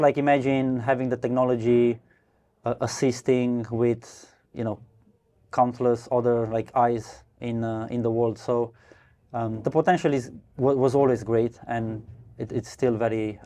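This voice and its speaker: A man from Romania with a mellow, darker tone speaks as a podcast guest. He talks casually, stopping and restarting with many fillers and the odd laugh. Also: medium pitch, flat delivery, a little slow. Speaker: guest, startup co-founder. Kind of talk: casual interview answers. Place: brewery podcast studio.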